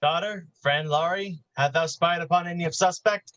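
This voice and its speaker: A man's voice: fairly high pitch, normal speed, a bit bright, lo-fi sound, close to an everyday voice. A man acting a dutiful, cautious town constable and father in a Shakespearean staged reading, speaking formally. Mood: cautioning.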